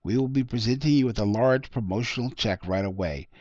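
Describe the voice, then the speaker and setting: A man's voice: mid-range voice, fairly quick, a little mellow, no echo, close to an everyday voice. A man voicing a bland, formal official in a story reading, with a procedural manner. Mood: businesslike. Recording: dull lo-fi close recording.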